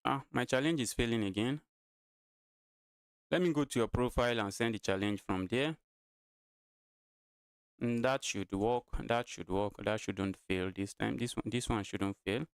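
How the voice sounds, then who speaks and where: A man's voice: medium pitch, normal speed, long pauses, clear, resonant tone, no echo. Male chess streamer, twenties, clean resonant voice, dry sound, chatty and repetitive with viewers. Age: 20s. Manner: talks to viewers, repeats his phrases. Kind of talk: casual live-stream chess commentary.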